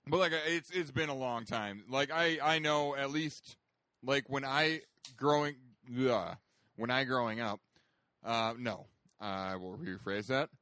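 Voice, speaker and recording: Deep-voiced man, teasing and laughing. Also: a little slow, sharp tone, no room sound. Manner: laughs easily, teasing banter. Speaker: co-host. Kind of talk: casual gameplay commentary. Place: indoors, playing video games.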